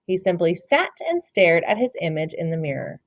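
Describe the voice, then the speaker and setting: A woman reading a picture book aloud in an expressive storytelling style for children. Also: fairly low pitch, normal speed, no echo, clean studio sound. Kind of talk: animated children's read-aloud narration.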